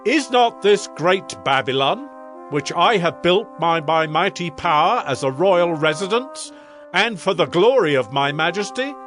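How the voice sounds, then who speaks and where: A man's voice: high-pitched, lively intonation, unhurried, a bit bright, soft background music. An actor playing a proud Babylonian king in a dramatized scripture reading, with a stately, declamatory delivery. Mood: proud, boastful.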